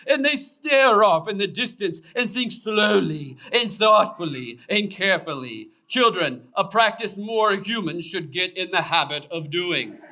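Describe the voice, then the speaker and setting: Pompous gentleman donkey puppet, bright high voice, dramatic storyteller for kids. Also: full character voice. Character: grandiose weary old-fashioned gentleman donkey storyteller puppet. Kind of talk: theatrical children's storytelling.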